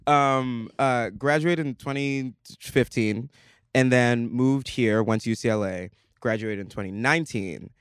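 A man in his twenties who grew up in Nigeria, chatting casually as a podcast guest and repeating words when excited. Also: medium pitch, unhurried, clear, resonant tone, dry recording, studio quality. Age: 20s. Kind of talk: casual chat.

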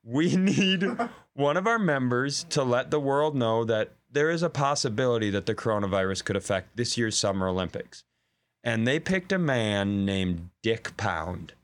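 Man, stand-up comic, mid-pitched voice, casual podcast storytelling, incredulous riffs, swearing. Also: lively intonation, normal speed. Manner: profane, incredulous, riffing asides. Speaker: comedy podcast co-host; stand-up comedian. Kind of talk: casual comedic storytelling and banter.

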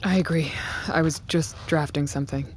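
An actress playing a guarded, grieving tech founder in a naturalistic audio drama, hushed, measured and hesitant. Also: female voice, deep voice, steady tone, slight background noise, close to an everyday voice. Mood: subdued, tired. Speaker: tech company founder and CEO.

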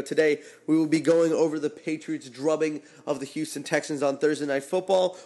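A man hosting a podcast with an excited, hyperbolic sports recap, often stumbling into emphatic restarts. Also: on the high side. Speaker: podcast host.

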